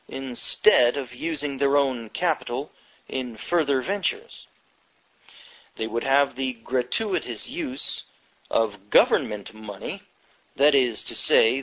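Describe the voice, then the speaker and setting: Guy narrating a history audiobook, pretty slow, choppy careful phrasing, dull lo-fi recording. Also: mid-range voice. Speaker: audiobook reader. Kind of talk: scripted reading of a nonfiction history book.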